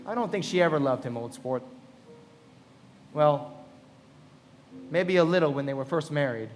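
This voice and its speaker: A man plays a wistful young millionaire with a slightly high voice and polished, earnest manners. He speaks in measured radio-drama phrases, with music underneath and the reverb of a hall. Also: natural voice. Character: romantic, wistful, genteel young self-made millionaire. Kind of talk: live radio play acting.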